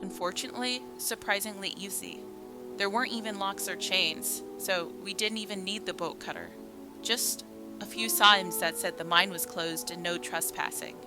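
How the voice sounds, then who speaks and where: A woman's voice: mid-range voice, moderate pace, lightly acted. A woman playing a haunted young caller, telling her horror ordeal suspensefully in a radio drama. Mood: rueful. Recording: music under the voice.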